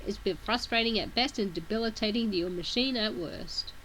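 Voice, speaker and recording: A woman with a sore throat reads a webcomic aloud at a middling pitch, dropping in chatty asides between passages. A faint hiss sits under her voice on a home mic. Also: fairly quick, fairly bright tone, poor audio. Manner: casual, chatty asides between read text. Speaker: reader of a webcomic.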